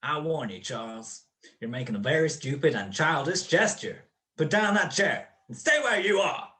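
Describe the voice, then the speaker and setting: A man's voice: a little high, animated, normal speed, sharp tone. A man playing a suave gangster boss in a radio crime drama, mock-courteous and taunting. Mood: stern warning. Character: suave, gloating male gangster boss with a gun.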